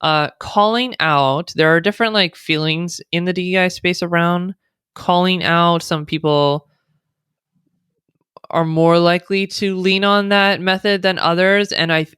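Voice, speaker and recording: A woman's voice: on the low side, steady tone, a little slow, fairly bright tone, smooth, dry recording, studio quality. An American woman, a diversity consultant, speaking as a guest in an interview with thoughtful, explanatory runs and fillers. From United States. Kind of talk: conversational interview.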